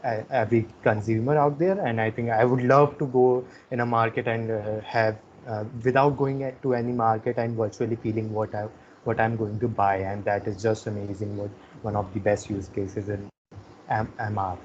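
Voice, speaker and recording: A man with a fairly low voice hosts an informal interview over an online call. He speaks hesitantly, restarting phrases, and the sound is dull with some faint noise. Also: fairly even intonation, normal speed. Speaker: webinar host, interviewer. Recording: muffled, noisy online call.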